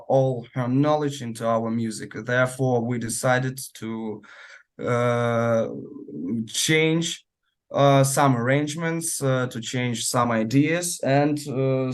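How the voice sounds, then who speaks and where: Male musician, slow and halting in interview, mid-pitched. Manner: hesitant, many fillers and restarts. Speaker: band musician.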